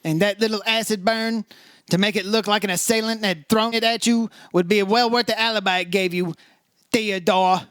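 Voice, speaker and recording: A man's voice: sits high, steady tone, normal speed, a bit bright, clear, resonant tone, no room sound, clean studio sound. A man playing a sardonic, hardboiled insurance investigator in an old-time radio detective drama. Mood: accusing. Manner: sardonic, taunting, clipped phrases.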